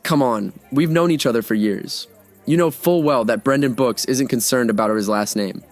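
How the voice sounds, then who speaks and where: A relaxed, joking young art student in his twenties, played by a man in an audio drama. He has a mid-range voice and a casual, teasing delivery. Soft music plays underneath. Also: mid-range voice, moderate pace, natural voice. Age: 20s. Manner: casual, joking and laid-back.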